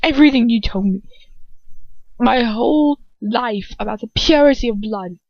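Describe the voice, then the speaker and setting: A woman acts out a young wizard son from a fantasy story, enraged and mocking. The voice leaps high, scratchy and strained as it hurls accusations and breaks into shouts, on a slightly dull, dry recording. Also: moderate pace, dry recording. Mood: furious. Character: furious, mocking young wizard son confronting his father.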